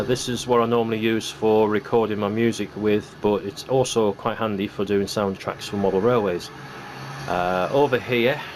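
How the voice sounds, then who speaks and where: A British man casually narrating a hands-on hobby demonstration as he presents his model railway build. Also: on the low side. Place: indoor hobby workbench. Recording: noisy room recording with hum.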